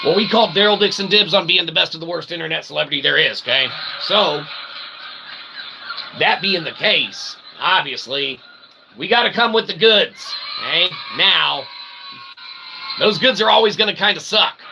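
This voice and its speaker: Higher-pitched, gravelly rambling man. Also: moderate pace, noisy background. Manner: self-deprecating, folksy, repeats tag questions like okay and right. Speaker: host of a web show. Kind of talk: rambling casual monologue to the audience.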